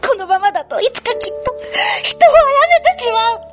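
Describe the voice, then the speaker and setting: A troubled schoolgirl acted by a woman in a radio drama, with a high, ladylike voice. She speaks in an emotional, confessional way over clearly audible music. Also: lively intonation, moderate pace. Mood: desperate, tearful. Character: troubled, polite schoolgirl fearing her violent second self. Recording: music behind the voice.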